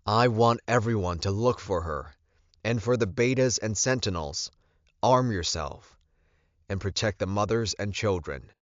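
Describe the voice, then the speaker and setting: A man voicing a commanding, protective werewolf alpha in an audiobook, with a forceful delivery. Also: on the low side, lively intonation, unhurried, no room sound, dull audio, voice pushed up. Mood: urgent, commanding. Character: commanding, protective male werewolf alpha, fierce about his mate.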